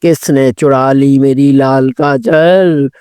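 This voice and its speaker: Storybook elder rabbit uncle, played by a woman: stern accuser, very slow delivery, clean smooth tone. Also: extremely low pitch, steady tone, dark tone, no echo, clean studio sound, voice pushed down. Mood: alarmed, indignant.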